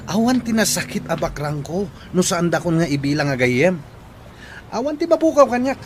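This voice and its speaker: A man's voice: sits high, big pitch swings, normal speed, a little hiss or hum. A man playing a boastful, arrogant rich company boss in an Ilocano audio drama, haughty and taunting. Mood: scornful, gloating.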